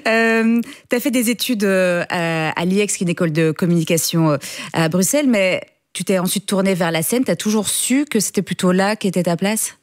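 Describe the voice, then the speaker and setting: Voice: medium pitch, moderate pace. A radio host interviewing a guest in a casual way, laughing often and restarting questions.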